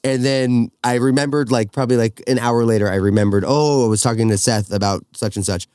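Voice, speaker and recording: A man's voice: mid-range voice, steady tone, brisk, a little mellow, smooth, no echo, studio quality. A man in his thirties chatting casually as a podcast host, bantering with the others. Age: 30s.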